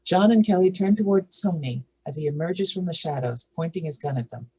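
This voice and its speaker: A man's voice: on the high side, brisk, darkish tone, slightly rough, low-quality recording. A man giving scripted narration, reading out stage directions for a drama table read.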